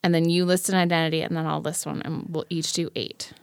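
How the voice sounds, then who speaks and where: American lady host, lowish voice, playful and frank, casual chat, super dry close sound. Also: flat delivery, fast, vocal fry, smooth, no room sound. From United States. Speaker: host, sexuality educator.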